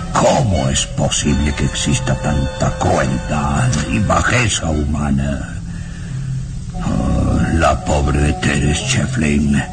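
Gravelly nervous professor, radionovela melodrama, anguished and slow, blaring music under it. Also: male voice, rumbling low, full character voice. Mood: horrified. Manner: trembling, anguished, drawn-out exclamations. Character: frightened, nervous professor horrified by dark secrets. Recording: old radio drama, very loud music.

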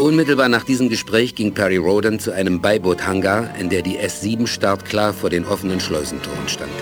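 An actor as the narrator of a space adventure audio drama, measured and matter-of-fact. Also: male voice, low voice, music in the background, lightly acted. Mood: sober.

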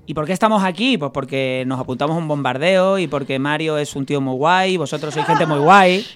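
A man's voice: on the high side, brisk, background noise. A young man from Málaga with a faint Andalusian accent, chatting and laughing as a band member in a radio interview. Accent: Andalusian Spanish, faint. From Málaga, Spain. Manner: chatty, laughs often, warm banter. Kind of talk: casual radio interview chat.

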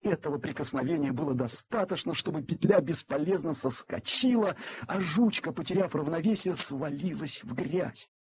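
A man narrating a literary story dramatically, with expressive theatrical delivery and deliberate pauses. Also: on the high side.

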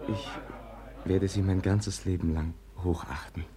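An actor in a 1950s German radio drama playing a gentle, naive, devoted young prince, speaking with hesitant, impulsive sincerity. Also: male voice, low voice, fairly even intonation, measured pace, a loud crowd. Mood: devoted, pleading.

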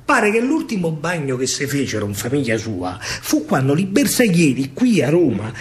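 A man with a Roman accent performing a theatrical verse monologue in dialect, expressive, dramatic and colloquial. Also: on the high side, moderate pace. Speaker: stage actor and storyteller.

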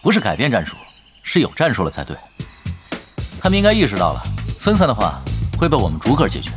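An actor playing a sly, laid-back veteran gamer in an audio drama, with a dry, teasing manner. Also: male voice, mid-range voice, wildly swooping pitch, fast talker, music in the background. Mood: calm, wry.

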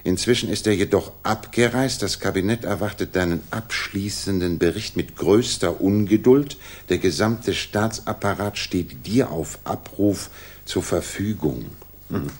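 An actor playing a confident, commanding male detective in a 1960s German radio play, with a husky voice. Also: low voice, unhurried. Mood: intent. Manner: commanding, probing, self-assured.

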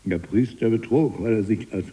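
A husky, very low-voiced man holds forth as a jailed freethinking writer in a radio drama. He is eloquent, taunting and obsessive, moving between murmur and declamation. The sound rings with long hall reverb. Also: normal speed, warm tone. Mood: bitter lecturing. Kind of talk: theatrical radio drama monologue.

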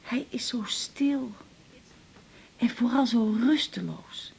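An actress playing a worried, hesitant middle-aged mother with a husky, breathy voice in a radio drama. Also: fairly high pitch, takes it slowly, darkish tone, full character voice. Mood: worried, helpless. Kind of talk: naturalistic 1980s Dutch radio drama acting.

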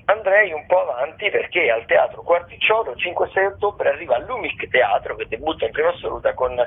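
A man hosting radio arts listings in a semi-improvised, halting way, often correcting himself. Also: fairly high pitch, lively intonation, creaky, husky, phone-quality sound. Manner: hesitant, halting delivery with self-corrections. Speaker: radio host presenting theatre listings. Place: radio studio.

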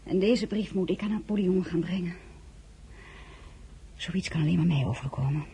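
An actress playing an elegant, composed woman of high rank in a radio drama, narrating and speaking in a measured, intimate way. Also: dark tone, slight background noise, natural voice. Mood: grave. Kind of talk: radio play acting with first-person narration.

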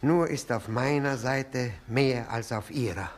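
A man plays a needy, servile widower with a drinking habit in a radio drama. His mid-pitched voice stumbles along, repeating words with humble, obsessive insistence. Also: takes it slowly, lightly acted. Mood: intense. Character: obsequious, hard-drinking, obsessive widower clinging to his rival. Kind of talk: German radio drama acting.

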